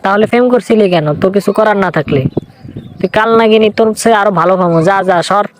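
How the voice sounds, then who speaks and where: A man acting as a young Bangladeshi boyfriend in a cartoon comedy, devoted and quick to flare up. His speech comes very fast. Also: high voice, lightly acted. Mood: hurt, angry. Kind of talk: comic cartoon dialogue.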